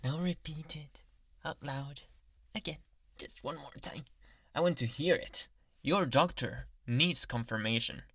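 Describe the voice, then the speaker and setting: A man voicing a possessive, obsessive yandere doctor in an anime ASMR boyfriend roleplay, speaking softly and coaxingly, often in whispers. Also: fairly high pitch, measured pace, slightly rough, no room sound, full character voice. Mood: commanding, coaxing. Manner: soft, intimate, coaxing, often dropping into whispers. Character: possessive, obsessive male yandere doctor, sweetly menacing lover. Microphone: close microphone.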